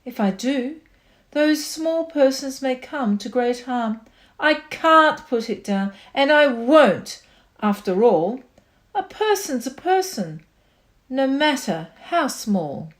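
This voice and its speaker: A woman's voice: high voice, animated, slow pace. A woman voicing a kind, protective male elephant with an earnest, pleading manner, for a storybook. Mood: worried, resolute.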